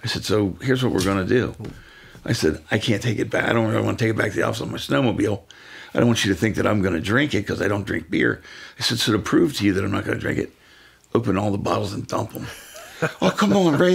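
Older man, gravelly, retired cop, casual storytelling, acts out quotes. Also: on the low side, fast talker. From Pennsylvania, USA. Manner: animated, acts out quoted voices. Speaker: retired police officer, guest.

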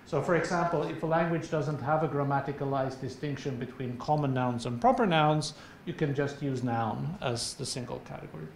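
A middle-aged man whose first language is Swedish, with a Swedish accent, giving an academic lecture in a measured, explanatory way with frequent filler pauses, on a lapel mic in a large lecture hall. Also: vocal fry. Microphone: clip-on lapel mic. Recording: very echoey hall, faint rumble.

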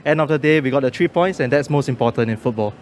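A man's voice: mid-range voice, fast talker. A young man with a Singaporean accent, a football fan giving casual post-match opinions into a handheld phone outdoors. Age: young adult. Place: outdoor stadium entrance. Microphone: handheld phone held toward him. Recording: noisy outdoor phone recording.

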